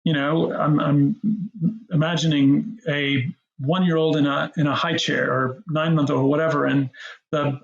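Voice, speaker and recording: Middle-aged male guest, rambling and gravelly, recorded in a roomy space. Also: fairly high pitch, normal speed, lo-fi sound. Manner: rambling, animated, many restarts and fillers. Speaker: guest, co-founder of a language app. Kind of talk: casual interview talk.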